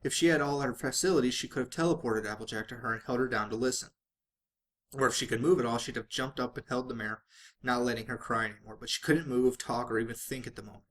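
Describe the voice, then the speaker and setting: A man's voice: mid-range voice, steady tone, fast talker, fairly bright tone. Man narrating a story, stumbling now and then. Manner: stumbles and rereads phrases. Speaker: fan fiction reader.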